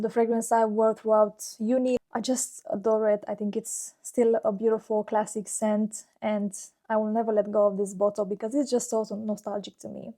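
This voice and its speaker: A woman chats in a relaxed, personal vlog style. She sits a little above the usual pitch for a woman but keeps a fairly even melody. Her tone is soft and mellow, and she speaks fondly, with plenty of "like" fillers. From Romania. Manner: chatty and fond, with frequent "like" fillers. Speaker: fragrance YouTuber. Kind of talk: casual personal vlog talk.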